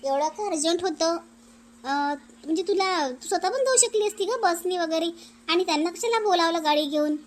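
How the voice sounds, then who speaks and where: A woman's voice: extremely high pitch, lively intonation, moderate pace. A woman voicing an inquisitive, hospitable married landlady in a cartoon family comedy. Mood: curious.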